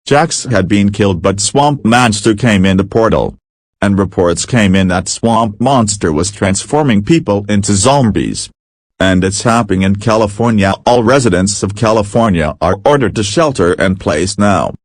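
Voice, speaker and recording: A man delivers a scripted emergency broadcast announcement. His voice sits fairly low, and it is recorded dry, with no room around it. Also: expressive, normal speed, dry recording.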